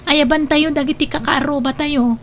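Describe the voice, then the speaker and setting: A woman's voice: high-pitched, steady tone, normal speed, a little hiss or hum. A woman playing a caring, hardworking young adult daughter in an Ilocano audio drama, speaking with an Ilocano accent.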